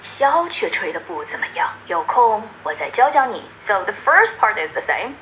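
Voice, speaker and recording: A woman's voice: medium pitch, sing-song, moderate pace, pushed and bright, slight background noise, low-quality recording. A woman giving informal explanatory commentary, playfully translating and glossing lines as she goes. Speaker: video essayist explaining lines.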